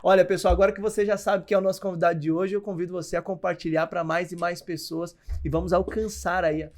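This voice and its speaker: High-voiced upbeat podcast host man. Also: quick pace. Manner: upbeat, promotional, lively delivery. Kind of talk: enthusiastic podcast hosting.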